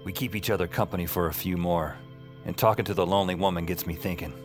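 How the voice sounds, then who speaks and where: Deep-voiced, wry, world-weary man, played by an actor. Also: quick pace, over music, lightly acted. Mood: brooding, wry. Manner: wry, deadpan. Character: world-weary male night-shift emergency dispatcher who sees strange things. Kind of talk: noir audio drama narration and dialogue.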